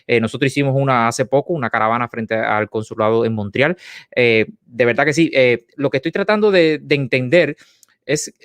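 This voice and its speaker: Male interviewer, Cuban accent, rapid speech, dry close sound, probing, rephrasing as he goes. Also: mid-range voice, no room sound. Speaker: host. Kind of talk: live interview, questioning a guest.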